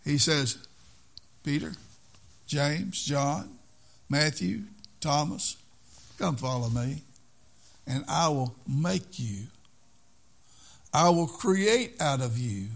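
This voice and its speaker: A man's voice: on the high side, animated, drawn-out words, vocal fry, long pauses. An older man preaching a sermon in an earnest way, repeating key phrases for emphasis.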